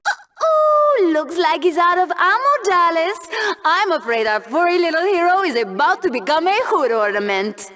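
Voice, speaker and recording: An actress playing a sardonic, gleefully cruel TV co-anchor in a video game, with a mocking, theatrical delivery. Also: female voice, extremely high pitch, very animated, moderate pace, a bit bright, full character voice. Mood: mock concern. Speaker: arena co-commentator.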